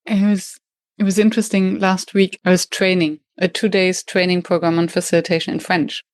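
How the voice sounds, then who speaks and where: A woman with a German accent, a native German speaker, hosting an interview in English. She talks quickly and reflectively and restarts phrases as she thinks aloud. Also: lowish voice, little pitch movement, dry recording. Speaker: podcast host and facilitation trainer. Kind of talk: conversational interview.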